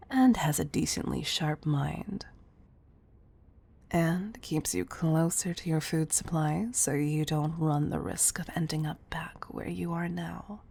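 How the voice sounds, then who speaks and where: A woman's voice: sits low, vocal fry, warm tone, exaggerated voice. A woman playing a condescending billionaire CEO who gives commanding tough love in an intimate audio roleplay.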